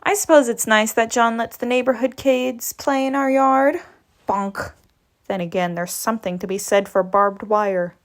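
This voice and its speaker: Woman reading a comic aloud. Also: moderate pace. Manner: lively, shifts pitch for each character. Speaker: read-aloud narrator. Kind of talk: reading a comic book aloud, doing all the voices.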